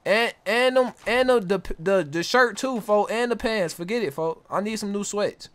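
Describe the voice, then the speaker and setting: A man's voice: high-pitched, normal speed, a bit bright, clear, resonant tone. An American man roleplaying in a video game, talking in slangy, bossy, repeated demands. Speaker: streamer playing a gang roleplay game. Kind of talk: in-character improvised roleplay chat.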